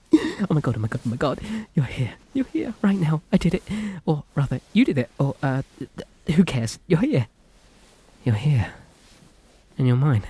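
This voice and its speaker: A man's voice: a little high, dark tone, a little hiss or hum. A British man playing a shy, lovestruck and possessive young kidnapper in an intimate ASMR roleplay, speaking earnestly and hesitantly to the listener. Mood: overjoyed, flustered, then adoring, possessive. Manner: hesitant, earnest, politely sweet, rambling.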